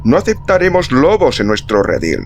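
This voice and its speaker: A man voicing a stern religious leader of about thirty, solemn, forceful and declamatory. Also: fairly high pitch, wildly swooping pitch, normal speed, slight background noise, voice pushed up.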